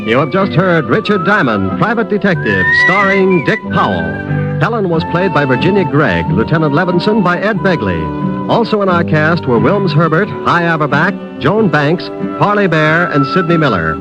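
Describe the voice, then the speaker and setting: A man announcing the credits of a 1950s radio drama in a crisp, formal way. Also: fairly high pitch, very animated, moderate pace. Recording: dull old radio with music.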